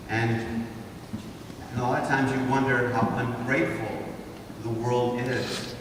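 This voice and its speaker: A man in his fifties, originally from Honduras, giving a lecture to students in a large hall and posing rhetorical questions to the audience. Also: mid-range voice. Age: 50s. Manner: rhetorical, asks the audience to think. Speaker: guest speaker. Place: large wood-paneled hall, indoors. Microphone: far camera, no mic seen. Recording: echoey hall from far off, noisy.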